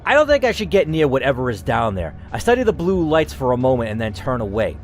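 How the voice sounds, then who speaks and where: A man's voice: mid-range voice, wildly swooping pitch, fast talker, slight background noise. A young man hosting a gaming channel, reading an interactive story game aloud and breaking off into casual, swearing asides.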